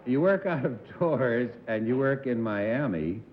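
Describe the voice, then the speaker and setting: A man on a television quiz panel, joking deadpan and asking questions with sardonic wit. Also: medium pitch, unhurried, slight background noise. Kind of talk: dry comic banter and questioning. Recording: dull 1960s television broadcast audio.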